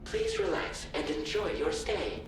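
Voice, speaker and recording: An actor playing a polite, welcoming facility announcer, giving a scripted greeting in a video game. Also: male voice, high voice, huge pitch swings, unhurried, background noise, heavily acted. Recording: heavy echo, distant, loud music behind.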